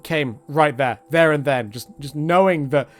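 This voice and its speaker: A man's voice: fairly high pitch, unhurried. A man giving casual, joking commentary on video games in a rambling, self-deprecating, tongue-in-cheek way. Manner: swears casually.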